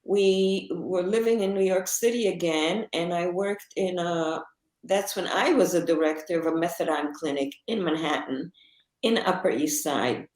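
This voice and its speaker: A woman's voice: fairly low pitch, moderate pace, darkish tone, reverberant, low-quality recording. A woman in her sixties, an author and psychotherapist born in Israel, talking about her life in an interview, with many fillers and restarts. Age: 60s. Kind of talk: conversational interview.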